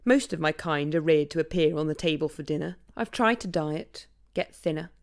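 A woman's voice: low voice, normal speed. Woman doing a fearful, pleading turkey. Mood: anxious. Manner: anxious, pleading. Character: fearful turkey dreading Christmas dinner.

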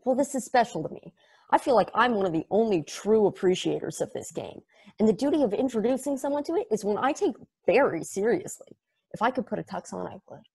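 Woman as an earnest superfan explaining a game, a bit of fry in the voice, a stumbling, devoted lecture. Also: medium pitch, animated, fairly quick, darkish tone. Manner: earnest, rambling, self-correcting. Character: eager, nerdy fan explaining a strange game. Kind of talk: table read of a stage play. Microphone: video call.

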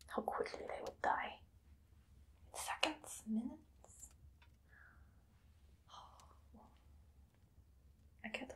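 A woman in her thirties whispering as a zealous female vampire hunter in an ASMR roleplay, slow and deliberate. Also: medium pitch, vocal fry, darkish tone. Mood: eager. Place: small dim room indoors.

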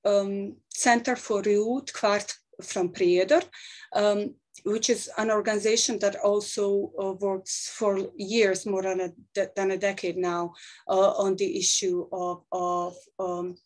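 A Bosnian-accented woman in her thirties speaks English earnestly on a video call, halting now and then between phrases as she thinks aloud. Her pitch sits in the ordinary middle range for a woman. She is picked up by a webcam in a small book-lined office. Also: flat delivery, darkish tone. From Bosnia and Herzegovina. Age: 30s. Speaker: panel speaker. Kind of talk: webinar talk. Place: small office with shelves of books, indoors.

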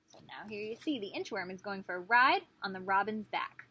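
Husky, animated woman reading to preschoolers. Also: medium pitch, fairly quick. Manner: instructive, expressive, talking to small children. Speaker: preschool teacher reading aloud. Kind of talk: picture-book read-aloud with questions to children. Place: small living room, indoors.

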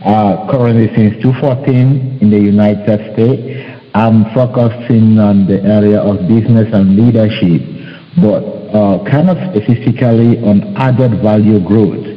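Halting low-voiced man from Cameroon. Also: steady tone, long reverb tail, narrow, tinny audio. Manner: halting, searching for words. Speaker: panelist. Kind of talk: casual video call discussion.

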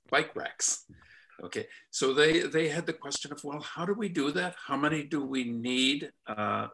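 Bright-voiced older man, halting and deliberate. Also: mid-range voice. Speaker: committee member from a cycling coalition. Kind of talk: giving comments in an online meeting. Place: indoor room at home, on a video call.

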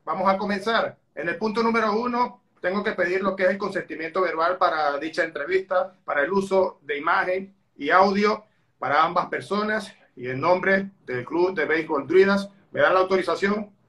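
High-voiced man hosting a video-call interview. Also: moderate pace, a bit bright. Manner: formal, announcer-like, with baseball flourishes. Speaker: interviewer, channel host. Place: indoor dining room at home. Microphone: webcam on a video call.

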